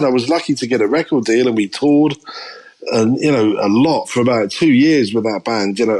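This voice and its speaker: A middle-aged English man speaks as a podcast guest in a mid-pitched, everyday voice. He tells his story candidly and thoughtfully, often pausing on "you know". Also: a little mellow. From England. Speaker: guest drummer. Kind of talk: podcast interview.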